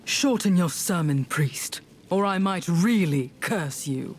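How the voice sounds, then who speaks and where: A woman's voice: fairly low pitch, lively intonation, slow, slight background noise. A woman playing a blunt, defiant warrior heroine in a video game, terse and confrontational. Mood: threatening.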